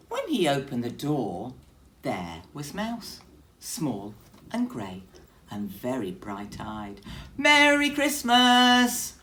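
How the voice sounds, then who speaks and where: A woman's voice: extremely low pitch, dark tone, a little hiss or hum. A woman teacher reading a story aloud expressively, speaking directly to young children.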